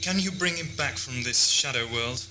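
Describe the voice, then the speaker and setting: A man's voice: mid-range voice, moderate pace, background noise, natural voice. A man playing a reluctant, stoic time-travelling hero in an amateur audio drama. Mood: grim, resigned.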